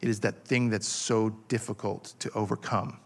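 A man in his forties preaching a passionate sermon, halting with fillers and restarts as he builds his point. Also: on the low side, fairly even intonation. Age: 40s. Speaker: pastor.

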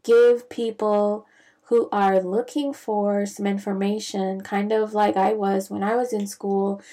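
A young woman from the Bahamas, a physician, telling her career story in a reflective, measured way with frequent fillers. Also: mid-range voice, little pitch movement, warm tone. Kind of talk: casual personal storytelling.

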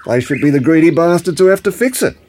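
A man's voice: a little high, animated, fast talker, a little mellow, a little hiss or hum, lightly acted. A man playing a blunt, teasing old Australian grandfather in a naturalistic audio drama. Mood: indignant. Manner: blunt colloquial speech, teasing, ends phrases with "eh".

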